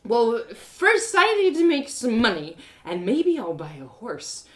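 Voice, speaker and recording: A woman acts out a naive young heroine, tipsy and eager, in a storybook audio drama. She rambles excitedly, stretching words, and her pitch swings about in a very animated way. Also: measured pace. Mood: determined.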